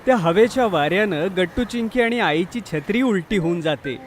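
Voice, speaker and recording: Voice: medium pitch, animated, normal speed, background noise. A narrator reading a scripted children's story.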